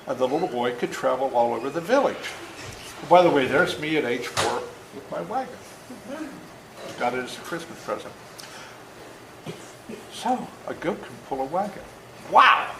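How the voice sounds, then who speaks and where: An older man reads his own story aloud to children in an animated, folksy way, stopping for asides to explain things. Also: fairly high pitch. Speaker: author reading his own book to schoolchildren. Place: indoor room at a school. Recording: echoey room with faint background noise.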